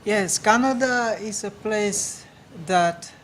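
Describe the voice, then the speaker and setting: A man from Uganda with a Ugandan accent, answering audience questions in a halting, earnest way at a live speaking event. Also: high-pitched, a bit bright, slight background noise. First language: a Ugandan language. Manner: earnest, halting, repeats words. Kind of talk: public speaking Q&A.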